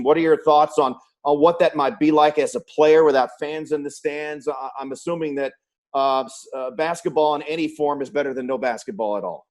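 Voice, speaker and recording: A man's voice: medium pitch, a little mellow. A man's mid-range voice in the role of a sports show host. He speaks conversationally, in long winding sentences, keeping an interview casual and moving along.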